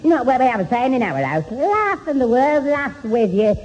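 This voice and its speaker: Daft eager northern english boyfriend, high voice, stuttery and muddled, muffled old radio sitcom. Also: male voice, sing-song, moderate pace. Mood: cheerfully muddled. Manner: stammering, muddled, eager to please. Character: dim, cheerful, bumbling young suitor.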